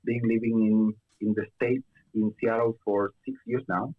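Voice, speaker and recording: A man's voice: lowish voice, fairly even intonation, normal speed, phone-quality sound. A young man from Chile chatting casually as a guest, with lots of fillers and restarts. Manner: hesitant, many fillers and restarts. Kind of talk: casual chat.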